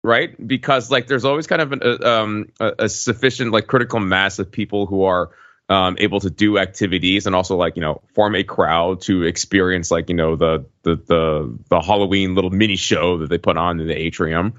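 A man on a podcast casually recounting an experience in a wordy, halting way, often correcting himself. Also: low voice, steady tone, fairly bright tone. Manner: wordy, halting delivery with frequent hedging and self-corrections. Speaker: podcast regular recounting a trip. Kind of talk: casual chat, rambling storytelling. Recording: dull lo-fi, roomy echo.

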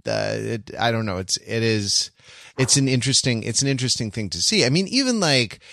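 A man co-hosting a podcast in casual discussion, halting and often interrupting himself as he works through a thought. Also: mid-range voice, lively intonation, gravelly, fairly bright tone, no echo, studio quality. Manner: rambling, halting, self-interrupting. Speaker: podcast co-host.

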